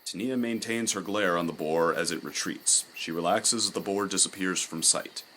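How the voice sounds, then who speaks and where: A man's voice: deep voice, normal speed, fairly bright tone, slight background noise, poor audio. A man reading scripted, dramatic third-person story narration for a fantasy audio drama.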